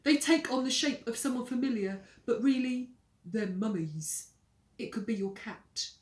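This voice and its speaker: A woman voicing a scheming, blustering young boy from a children's storybook, with a forceful, melodramatic delivery. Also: on the high side, a little slow, recorded from a distance, lightly acted. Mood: scheming, ominous. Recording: roomy echo.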